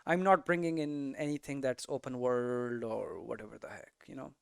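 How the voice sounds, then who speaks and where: A man's voice: medium pitch, moderate pace, creaky, clear, resonant tone, no echo. A man giving an informal lesson, thinking aloud conversationally and often checking in with tags like "right?". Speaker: game development streamer and teacher.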